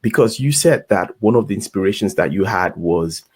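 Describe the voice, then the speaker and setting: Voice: deep voice, lively intonation, normal speed, husky. An interview host who asks curious questions in long, stacked sentences, with many short listening sounds. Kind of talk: casual interview conversation.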